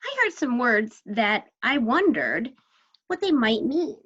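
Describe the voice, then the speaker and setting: A woman teaching young children, asking questions and explaining words in simple, deliberate terms. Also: on the high side, very animated, fairly bright tone, husky, lo-fi sound. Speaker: teacher guiding young children through a story.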